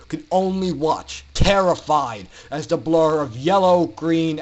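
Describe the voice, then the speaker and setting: A man narrating a story in a theatrical, emphatic style with dramatic pauses. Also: on the high side. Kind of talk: dramatic fan-fiction story reading.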